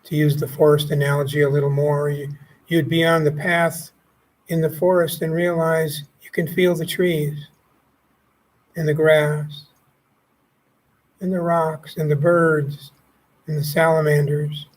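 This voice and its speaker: Slow-paced man guiding meditation. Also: fairly high pitch, rough recording. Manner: calm, gently instructive, pausing between short phrases. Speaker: meditation teacher. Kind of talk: guided meditation.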